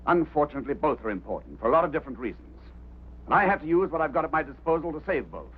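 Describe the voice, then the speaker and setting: A man's voice: on the high side, sing-song, very fast. An actor playing a stern, embittered man in charge of a search, firm and authoritative, in a mid-century film drama. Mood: insistent. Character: stern, embittered male boss of a search operation. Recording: muffled, band-limited old film soundtrack, faint hum.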